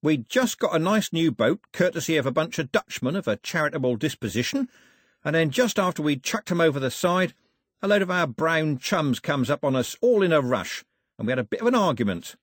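A man's voice: fairly high pitch, sing-song, a little fast, dry recording, clean studio sound, pitched above the performer's own voice. Man voicing a swaggering villain, swooping and jeering. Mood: boastful. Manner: theatrical, jeering, colloquial patter. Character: swaggering villainous sailor, mockingly cheerful murderer turned king.

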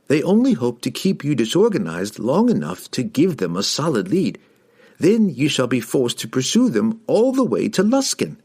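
A man's voice: mid-range voice, wildly swooping pitch, moderate pace, a little mellow, husky, pitched above the performer's own voice, heavily acted. A man voicing a small, cunning halfling who explains himself earnestly and carefully in a fantasy audiobook. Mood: earnest, persuasive. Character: small male halfling, earnest, cunning liar under pressure. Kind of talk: audiobook character acting.

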